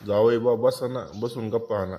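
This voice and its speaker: A man's voice: fairly low pitch, steady tone, moderate pace, dark tone, natural voice. A man playing a placating middle-aged father-in-law in a comedy cartoon, with a rural Maharashtrian accent. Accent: rural Maharashtrian Marathi. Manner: soothing, conciliatory to his guest. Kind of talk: comedy cartoon dialogue in colloquial Marathi.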